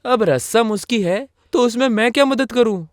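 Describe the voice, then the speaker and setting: A woman voicing a nervous, defensive young groom in an animated story. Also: fairly high pitch, normal speed, dry recording, studio quality, natural voice. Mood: grumbling.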